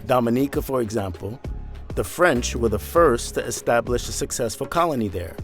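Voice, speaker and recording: A man's voice: medium pitch, expressive, moderate pace, soft background music. A man narrating a news feature in a scripted, conversational voice-over, asking rhetorical questions.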